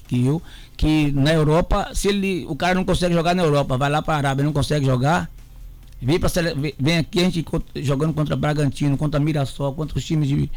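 Gravelly, halting radio sports pundit. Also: male voice, on the high side, a little mellow. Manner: halting, repeats words, firm opinions.

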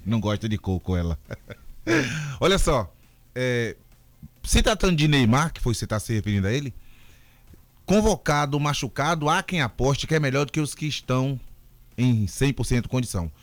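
A man's voice: medium pitch, no room sound. A chatty man on sports radio, joking with listeners before arguing his point step by step. His voice sounds dry and close, with no room around it. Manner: chatty, joking, then reasoned.

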